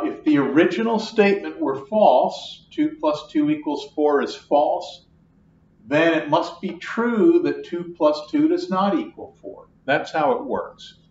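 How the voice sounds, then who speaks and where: A man's voice: a little high, darkish tone, a little hiss or hum. An older man, a math lecturer, explaining step by step at a whiteboard in a patient, repetitive classroom manner, recorded from across the room. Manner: slow, patient, repeats each point. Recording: echoey classroom, distant, dull.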